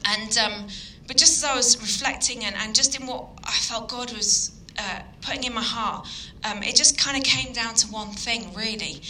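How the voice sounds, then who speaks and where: A woman from Yorkshire with a Yorkshire accent giving an earnest evening sermon, full of ums and pauses. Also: fairly high pitch, sharp tone, a little hiss or hum, low-quality recording. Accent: Yorkshire English. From Yorkshire, England. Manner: earnest, hesitant with frequent ums. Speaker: guest speaker.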